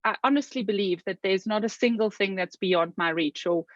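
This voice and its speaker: A South African woman talking as an interview guest, earnest and reflective, often pausing with "um" and restarting her sentences. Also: medium pitch, no room sound, lo-fi sound. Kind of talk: interview conversation.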